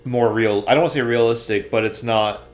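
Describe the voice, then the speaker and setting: A man's voice: medium pitch, fairly even intonation, brisk. A man co-hosting a podcast, chatting casually and giving emphatic opinions about pop culture. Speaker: podcast co-host.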